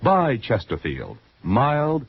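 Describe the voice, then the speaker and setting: Husky American radio ad announcer, male. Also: medium pitch, huge pitch swings, slow, dry recording. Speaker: commercial announcer. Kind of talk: 1950s radio advertisement read. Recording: dry, muffled old radio recording.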